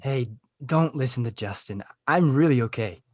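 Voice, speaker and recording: An awkward, lovesick teenage boy, played by a man in a comedy table read. He comes across fragile and unsure, in a medium pitch, recorded close and dry. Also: animated, normal speed, no echo, lightly acted. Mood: hopeful, awkward.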